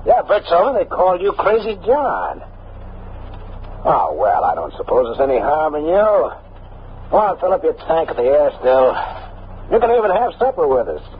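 Gruff frontier dad, fairly high lively voice, folksy, distrustful, tinny old radio. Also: male voice, normal speed, a little hiss or hum. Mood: gruff, grudging. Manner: folksy, blunt, commanding. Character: gruff, suspicious, bigoted frontier father on Mars. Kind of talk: 1950s radio drama acting.

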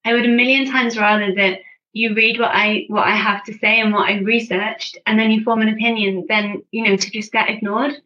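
Slightly dulled, lo-fi audio carries a woman's mid-pitched voice in a casual interview. She is effusive and frank, speaking informally with plenty of "like" as she goes. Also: steady tone, fairly quick, bright, forceful tone. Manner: effusive, candid, informal, frequent "like". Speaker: podcast guest, finance blogger and writer.

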